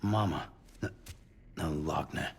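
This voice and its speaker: A gruff, cynical courier for a video game cutscene. His voice is extremely deep and gravelly, with blunt, terse delivery. There is faint noise behind him. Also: takes it slowly, exaggerated voice. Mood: concerned. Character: gruff, weary, bitter loner courier man.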